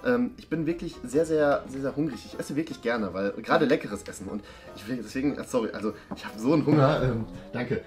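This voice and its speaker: A man's voice: mid-range voice, a little fast. A man, improvising a comic role-play in a theatrical, repetitive, exaggerated way. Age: 20s. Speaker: performer in an improvised date sketch. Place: indoor studio set at a dining table. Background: background music.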